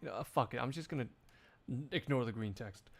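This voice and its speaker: Casual man streaming over loud music. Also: mid-range voice, fast, creaky. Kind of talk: casual live-stream chat.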